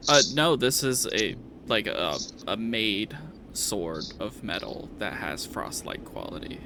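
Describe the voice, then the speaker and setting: A man runs a tabletop game in a casual, conversational way. His voice has a lot of fry, and the recording is clearly noisy. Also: lowish voice, sing-song, takes it slowly. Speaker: game master.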